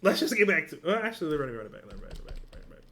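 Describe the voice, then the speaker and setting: A man hosting a reaction video, talking casually and excitedly, rambling when worked up. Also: a little high, very fast, background noise.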